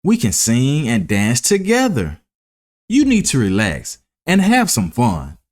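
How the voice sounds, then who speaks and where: A man voicing a carefree, mocking grasshopper in a children's storybook, with a teasing, drawn-out delivery. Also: mid-range voice, wildly swooping pitch. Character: carefree, mocking young male grasshopper who loves play. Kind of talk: children's storybook read-aloud.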